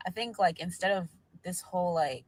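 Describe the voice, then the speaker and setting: A woman's voice: on the low side, moderate pace, a little hiss or hum. A young woman from Nigeria talking casually to camera, loose and chatty and leaning on the word like. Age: young adult. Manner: loose and chatty, heavy use of "like".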